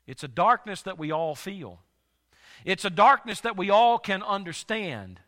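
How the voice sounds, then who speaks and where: A male pastor preaching a sermon while congested, his voice nearly gone, swinging from soft to loud with slow, emphatic delivery. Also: high voice, sing-song, big-room sound.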